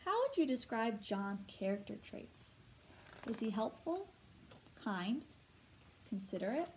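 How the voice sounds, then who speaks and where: A woman with a fairly high voice reads a children's story aloud. She narrates expressively and articulates for young listeners, stepping out now and then to explain, as a teacher would. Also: very animated, slow, darkish tone, a little hiss or hum, poor audio. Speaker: teacher reading a picture book aloud. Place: indoor room at home.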